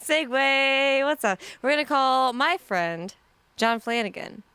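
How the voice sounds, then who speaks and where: A woman's voice: sits high, lively intonation, slow, sharp tone, clean tone, no echo. A woman in her thirties from Nebraska, hosting a casual podcast chat, giggly and full of fillers. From Nebraska, USA. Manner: giggly, chatty, many fillers. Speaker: podcast host.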